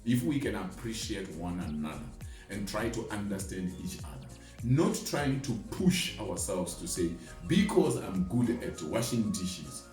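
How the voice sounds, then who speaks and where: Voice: low-pitched, big pitch swings, unhurried, a little mellow. An adult speaker playing a friendly, encouraging mentor who explains things informally and emphatically with homely examples, in a dramatized conversation. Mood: earnest, encouraging. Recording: echoey room, distant mic, faint music behind.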